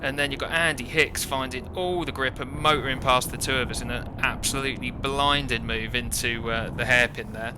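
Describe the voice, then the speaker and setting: British bloke talking over his own kart race footage, pretty flat delivery, wry and self-deprecating, engine noise clearly behind him. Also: medium pitch, moderate pace, few pauses. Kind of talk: informal first-person race commentary.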